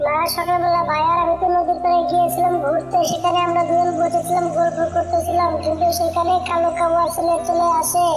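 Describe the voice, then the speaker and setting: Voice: very high voice, monotone, quick pace, few pauses, over music. A performer voicing a young, earnest, chatty talking bird in a cartoon fable.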